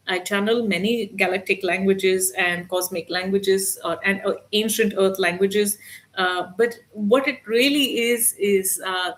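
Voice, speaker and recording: A woman hosting a live spiritual channeling stream, speaking softly and gently with many hesitant fillers. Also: mid-range voice.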